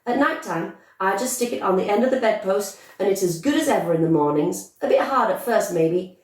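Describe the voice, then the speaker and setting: A woman voicing a brash, boastful, bossy young girl in a storybook, with loud, emphatic, excitable chatter. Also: medium pitch, pitched above the performer's own voice, close to an everyday voice. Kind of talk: storybook character voice. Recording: echoey room, distant microphone.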